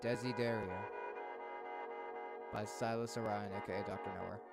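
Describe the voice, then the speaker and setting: A man streaming casual reactions and commentary, expressive and thinking aloud as he goes. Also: fairly low pitch, moderate pace, gravelly, over loud music.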